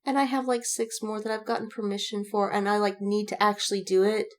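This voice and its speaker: A woman's voice: mid-range voice, little pitch movement, fairly quick. A woman in casual podcast chat about fandom, speaking emphatically with slang and swearing and repeating words for effect. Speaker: podcast co-host.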